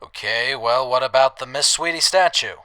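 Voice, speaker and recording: A man's voice: medium pitch, normal speed, bright, forceful tone, natural voice. A man playing a wry, breezy scrap trader in a post-apocalyptic radio drama, bantering and cocky. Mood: casual, bargaining.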